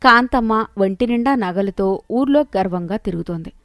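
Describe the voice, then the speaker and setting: A woman's voice: medium pitch, animated, normal speed, dry recording, clean studio sound. A woman narrating a scripted moral story as a storyteller.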